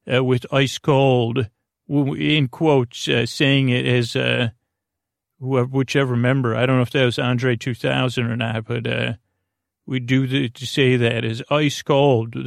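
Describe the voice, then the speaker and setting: A man hosting a podcast in a rambling, whimsical monologue, halting and repeating words as he drifts into tangents. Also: mid-range voice, creaky, dry recording, clean studio sound. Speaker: podcast host.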